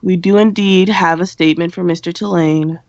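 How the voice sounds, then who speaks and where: Woman acting a dry sensible vampire schoolgirl radio host, lowish voice, kinda muffled roomy recording. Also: fairly even intonation, moderate pace, a little mellow, lightly acted. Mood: dry, official. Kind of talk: amateur radio play, mock school broadcast.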